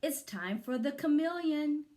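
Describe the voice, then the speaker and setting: A silly, cheerful lady schoolteacher from a storybook, as a woman reader puts her on. She calls out to her class in a raised, high pitch with a singsong manner, taking her time over the words. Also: animated, a little slow, warm tone. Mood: cheerful announcing.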